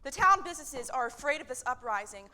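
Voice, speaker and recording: Woman acting blunt fed-up striking worker, bright forceful voice, kinda high. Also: lively intonation, fast talker, exaggerated voice. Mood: exasperated. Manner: sarcastic, exasperated, swearing. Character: blunt, worried female factory worker in revolt.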